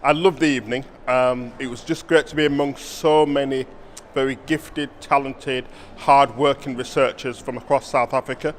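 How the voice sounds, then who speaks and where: A man's voice: medium pitch, fairly even intonation, normal speed, slight background noise. A man with a British accent, a visiting professor, giving an appreciative interview after an awards evening. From Britain. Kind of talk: event interview.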